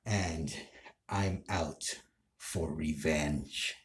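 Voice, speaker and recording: Man playing a slow, menacing low-voiced anime villain. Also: fairly even intonation, full character voice. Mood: ominous, boastful. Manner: slow, deliberate, ominous taunting. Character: menacing male villain, neither human nor demon, craving power. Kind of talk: anime villain role play.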